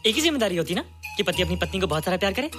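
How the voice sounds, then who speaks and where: A man's voice: high-pitched, sing-song, very fast, sharp tone, heavily acted. An actor playing an innocent, childlike young man in film drama, eager and pleading, often repeating his phrases. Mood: earnest, playful. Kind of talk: Hindi film drama dialogue. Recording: film dialogue under music.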